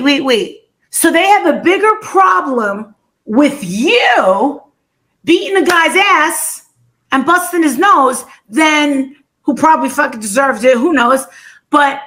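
A woman's voice: sits high, fairly bright tone. A woman in casual livestream chat over a video call, emphatic and profane, repeating her words.

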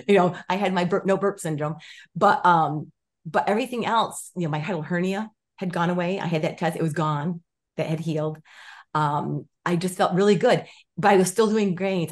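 Animated woman telling a story on a video call. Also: fairly low pitch. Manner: animated storytelling with many restarts and quoted voices; gestures with her hands as she talks. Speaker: guest. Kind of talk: casual interview chat. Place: home room with stone wall, indoors.

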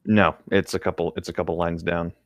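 A man's voice: low-pitched, level tone, a little fast, no room sound, studio quality. A man co-hosting a read-along show, switching between casual chat and reading aloud. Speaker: co-host of a group read-along commentary show.

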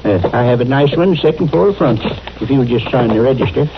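A homespun rural American hotel clerk, played by a man in an old radio western. He rambles in a mid-pitched voice, eager and obliging. The recording is dull, with faint hiss. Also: animated, a little fast, close to an everyday voice. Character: chatty, eager, folksy small-town hotel clerk.

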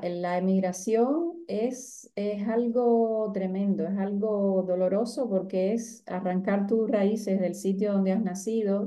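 A middle-aged woman with a softened Cuban accent, speaking reflectively as a guest in an interview over a video call. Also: mid-range voice. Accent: Cuban, softened by years abroad. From Cuba. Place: indoor room at home, on a video call.

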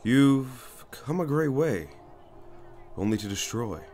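A man playing a calm, cryptic older monk-like sage in a sci-fi audio drama, measured, knowing and gentle. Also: medium pitch. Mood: serene, cryptic.

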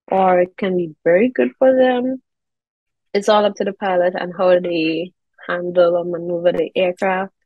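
A woman's voice: mid-range voice, dry recording. A woman in her thirties with a Caribbean accent, chatting as an interview guest over a video call. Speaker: interview guest, air traffic controller and children's author. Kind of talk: conversational interview answers. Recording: slightly dull video-call audio.

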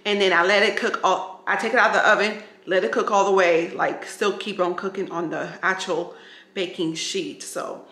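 A woman's voice: mid-range voice, a bit bright, a little hiss or hum. A middle-aged woman vlogger chatting informally and directly to her viewers in a home kitchen. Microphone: camera at arm's length. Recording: roomy echoing kitchen.

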